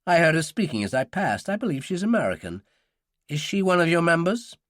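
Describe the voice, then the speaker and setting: A man's voice: on the high side, big pitch swings, fairly quick, fairly bright tone, dry recording, voice pushed up. A man voicing a suave, sly upper-class American man with a dry, polite manner. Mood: charming.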